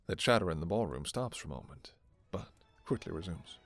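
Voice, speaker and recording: Deep-voiced male story narrator. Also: expressive, a little fast, gravelly, a bit bright, faint music behind. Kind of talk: scripted second-person story narration.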